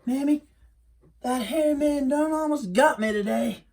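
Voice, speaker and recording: A man voicing a frightened young country boy with a high, twangy drawl. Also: moderate pace, darkish tone. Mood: breathless.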